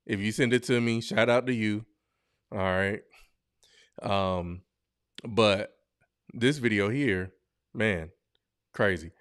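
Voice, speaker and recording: A man's voice: lowish voice, slow pace, pauses often, fairly bright tone, clean tone, dry recording, studio quality. A man hosting a podcast, reacting to online videos in casual, slangy, blunt and joking commentary full of exclamations. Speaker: podcast host.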